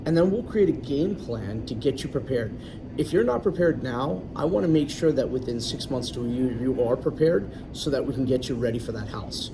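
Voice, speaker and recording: A man, a loan originator, explaining things in a confident, chatty way as a guest. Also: mid-range voice. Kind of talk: casual interview. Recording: distant mic, clear background noise.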